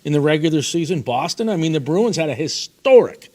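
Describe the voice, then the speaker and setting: A man's voice: on the high side, lively intonation, brisk, a little mellow. A man co-hosting a casual sports talk show, giving folksy, opinionated takes.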